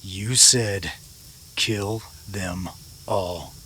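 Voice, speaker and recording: A man playing a vengeful Old West gunman in a radio drama, seething, menacing and deliberate. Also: low-pitched, sharp tone, breathy edge, exaggerated voice. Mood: seething rage. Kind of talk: old-time western radio drama acting.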